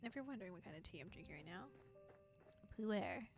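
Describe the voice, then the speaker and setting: A woman's voice: lowish voice, very animated, a little fast, gravelly, darkish tone. A woman chatting casually on a livestream in a playful, giggly manner. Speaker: streamer playing a visual novel. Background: music.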